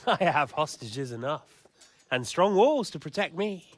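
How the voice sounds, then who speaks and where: An actor playing a smug, cruel medieval villain in a video game, mocking and drawling. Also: male voice, on the high side, big pitch swings, slow pace, dry recording, full character voice. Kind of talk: dramatic video game acting.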